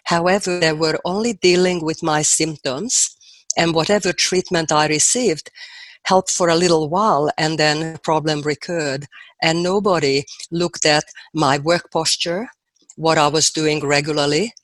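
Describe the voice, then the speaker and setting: A woman's voice: low voice, steady tone, a little slow, no room sound. Older Hungarian-accented woman, earnest. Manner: earnest, reflective storytelling with fillers. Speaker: guest dentist. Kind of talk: podcast interview.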